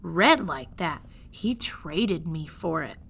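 Simple storybook serving girl, played by a woman reader: young, loud, gullible, rustic, pitch leaping about. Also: lively intonation, slow pace, gravelly, husky, full character voice. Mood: impressed. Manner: rustic, uneducated phrasing, exclaiming loudly.

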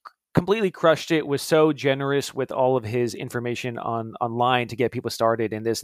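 A man's voice: medium pitch, no echo. A man co-hosting a podcast, chatting casually with a guest in a laid-back, enthusiastic, slangy way. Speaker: podcast co-host. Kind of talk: casual interview chat.

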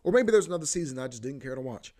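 A man's voice: mid-range voice, lively intonation, rapid-fire, dark tone. Rambling, profane young man. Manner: loose and profane, heavy filler like "bro" and "you know what I mean"; repeats himself, often restarts. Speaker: podcast host. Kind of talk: casual rambling monologue.

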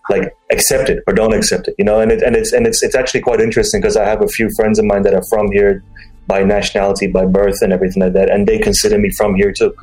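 A man's voice: on the low side, level tone, fast, darkish tone, faint music behind, low-quality recording. A man from Dubai chatting casually as a podcast guest, repeating words and saying "you know" often. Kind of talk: casual conversation.

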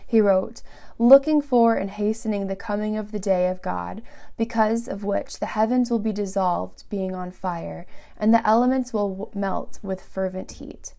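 A woman hosts a Bible study podcast, her voice a bit stuffy and congested from allergies. She reads scripture and teaches with earnest warmth, her tone carrying some vocal fry. She speaks close to the mic. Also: mid-range voice, moderate pace. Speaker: podcast host.

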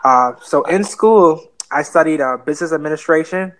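A man's voice: on the high side, normal speed, rough recording. A man in his twenties with a Bahamian accent, talking earnestly as a guest on a podcast interview. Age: 20s. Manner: relaxed, earnest, many fillers. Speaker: guest real estate investor.

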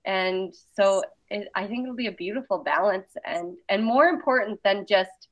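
A woman chatting casually about creative work, talkative and animated in her storytelling. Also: medium pitch, moderate pace. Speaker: artist and interviewer. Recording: dull lo-fi sound.

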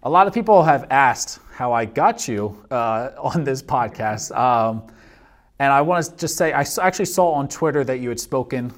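A man who is a police officer hosting a casual podcast interview, polite and filling pauses with um and uh. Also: medium pitch, expressive. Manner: polite, many ums and uhs.

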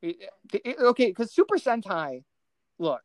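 A woman's voice: mid-range voice, very animated, moderate pace, no room sound. A woman co-hosting a casual film discussion podcast, talking in an exasperated, sarcastic way. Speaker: podcast co-host reviewing films.

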